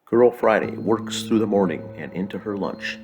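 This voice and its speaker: A man with a low voice narrates a radio play in an old-time style. His scripted delivery sits over a clearly audible music bed. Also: moderate pace. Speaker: narrator of an audio drama.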